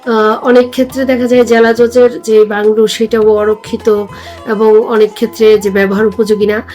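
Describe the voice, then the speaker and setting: Higher-pitched Bangladeshi woman, faint music behind. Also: little pitch movement, normal speed, a little mellow. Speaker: guest, former judge. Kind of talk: formal discussion.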